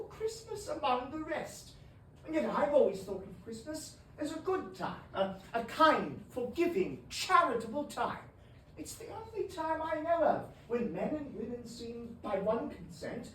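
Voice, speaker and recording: A reader voicing a cheerful, eager young man who laughs and pleads in a high, bright voice, in a roomy recording from a distant mic. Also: big pitch swings, a little slow, a little hiss or hum, exaggerated voice. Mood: earnest.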